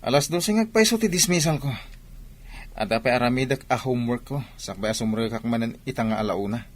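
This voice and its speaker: An actor playing a polite, sweet-talking young student in a radio drama, with a Filipino accent. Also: male voice, mid-range voice, brisk, natural voice. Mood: affectionate. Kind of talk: Ilocano radio drama acting.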